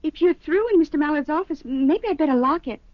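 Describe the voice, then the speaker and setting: An actress playing a chatty, eager, slightly scatterbrained office secretary in a 1970s radio drama. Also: female voice, high voice, expressive, brisk, no echo. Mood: chatty, helpful. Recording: dull lo-fi old radio recording.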